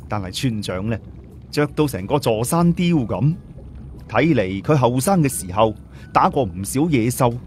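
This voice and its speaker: A man's voice: mid-range voice, a little mellow. A man narrating a serialized story in a scripted storytelling style, with colloquial asides. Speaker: audiobook narrator.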